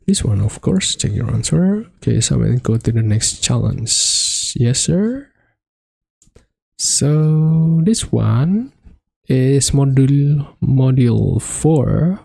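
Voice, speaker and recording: Slow, gravelly man. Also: mid-range voice, expressive, mellow, dry recording, studio quality. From Indonesia. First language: Indonesian. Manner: halting, hesitant non-native phrasing. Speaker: coding tutorial host. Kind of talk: screen-recorded coding walkthrough.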